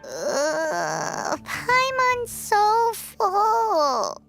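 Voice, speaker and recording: A woman voicing a tiny, childlike fairy companion in a video game, squeaky, cheeky and blunt. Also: huge pitch swings, very slow, gravelly, fairly bright tone, soft background music, full character voice. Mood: stuffed, groaning. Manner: squeaky, blunt, speaks of self in third person.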